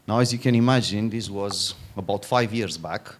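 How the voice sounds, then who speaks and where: A man's voice: on the low side, normal speed. A middle-aged man from Romania, giving an informal conference talk, often restarting and repeating words. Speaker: conference speaker, fund founder.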